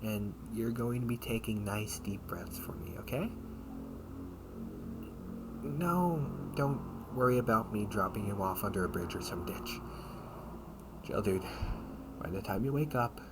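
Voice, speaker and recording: A man playing a protective, sardonic older brother in an amateur audio roleplay, speaking in slangy, halting phrases with casual menace. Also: lowish voice, lively intonation. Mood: casually menacing. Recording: noisy amateur home recording.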